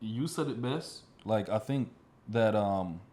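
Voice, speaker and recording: A man's voice: fairly low pitch, expressive, slow, a little mellow. A man hosting a podcast in casual conversation, speaking in an informal, slangy way. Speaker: podcast host. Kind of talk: casual chat.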